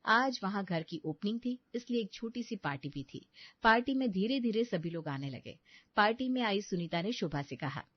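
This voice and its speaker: Woman storyteller narrating from a script, normal pitch, dry kinda lo-fi audio. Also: lively intonation, moderate pace, slightly rough, no echo.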